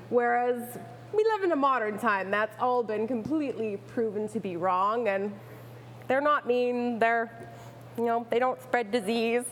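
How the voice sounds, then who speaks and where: A woman's voice: a little high, a little hiss or hum. A woman chatting casually as a guest expert in an interview, playful, enthusiastic and informal. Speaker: guest expert, pet rat owner and rescuer.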